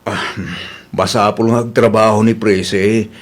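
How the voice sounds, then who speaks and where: A man's voice: fairly low pitch, level tone, measured pace. An actor in a radio drama playing a frail, weary older man who asks plaintive questions.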